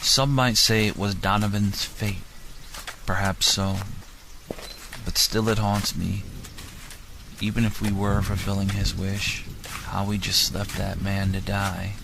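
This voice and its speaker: A man with a low voice narrates a dark first-person story. His delivery is flat in pitch yet somber and melodramatic, like a scripted confession. Faint noise shows it is an amateur home recording. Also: takes it slowly, vocal fry, pauses often. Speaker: audiobook narrator.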